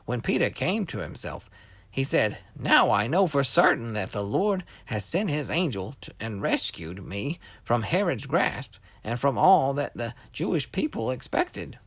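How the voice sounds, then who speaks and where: A man's voice: medium pitch, sing-song, a little slow, fairly bright tone, breathy edge, dry recording. A man reading a scripture story expressively for children as a scripted narration.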